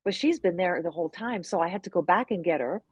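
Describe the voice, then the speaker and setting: A woman whose first language is French, a guest telling lively personal stories and acting out her past self. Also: on the low side, fast talker, husky, dry recording. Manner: animated storyteller, acts out her own past voices. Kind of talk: heartfelt conversational interview.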